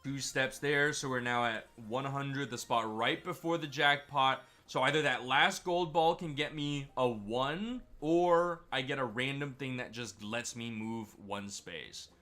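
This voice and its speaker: Stuffy streamer dude, bright tone, gets hyped over wins, faint music behind. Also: male voice, mid-range voice, a little slow, few pauses. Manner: chatty, excitable over wins. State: stuffy, slightly sick. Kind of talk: gameplay commentary.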